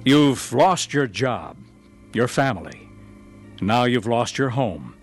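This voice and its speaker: A man announcing and narrating a radio drama in a measured, earnest broadcast style. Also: mid-range voice, sing-song, husky, faint music behind.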